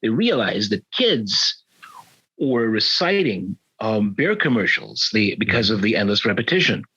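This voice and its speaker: A man in his fifties, co-hosting a casual podcast, animated and playfully mock-indignant. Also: on the low side, unhurried, breathy edge, dull audio. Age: 50s. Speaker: podcast co-host. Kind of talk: casual chat. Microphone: remote call.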